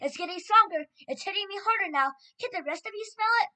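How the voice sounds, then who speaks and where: A shrieking storybook witch, acted out by a woman reader, very high and sharp. She is frantic and excited as she sniffs the air for children, calling out in urgent bursts. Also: quick pace, exaggerated voice. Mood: urgent excitement. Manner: frantic exclamations.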